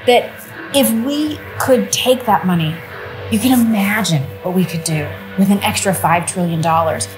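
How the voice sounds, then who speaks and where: A woman's voice: medium pitch, moderate pace, over music, rough recording. A woman in her thirties, a climate advisor, speaking earnestly to camera in a plain studio, laying out points one by one. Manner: earnest, list-like, upbeat. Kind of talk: to-camera interview.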